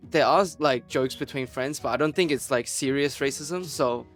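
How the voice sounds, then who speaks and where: A man's voice: medium pitch, moderate pace, fairly bright tone, faint music behind. Easygoing young man, a student. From China. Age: young adult. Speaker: university student. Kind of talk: casual interview answers.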